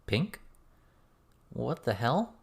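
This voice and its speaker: A man's voice: medium pitch, very animated, extremely slow, vocal fry, husky, pitched above the performer's own voice, exaggerated voice. A man voicing a serious, blunt teenage girl with a firm, questioning manner in an audiobook. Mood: baffled.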